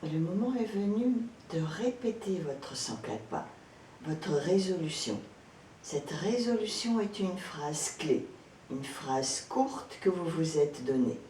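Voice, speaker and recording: Female meditation guide, unhurried and soothing, with a swaying intonation; roomy, distant recording. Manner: calm, gentle, soothing, with frequent pauses. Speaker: yoga nidra instructor. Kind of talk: guided relaxation meditation, spoken instructions. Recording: roomy echo, distant mic, background noise, rumble.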